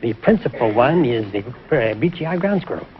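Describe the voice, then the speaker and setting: A man's voice: mid-range voice, sing-song, fairly quick. A man from Ohio appearing as a quiz show contestant, answering plainly and good-naturedly. His voice sounds muffled, as on an old broadcast recording, with a faint hiss behind it. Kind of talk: guest interview. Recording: dull noisy 1950s broadcast recording.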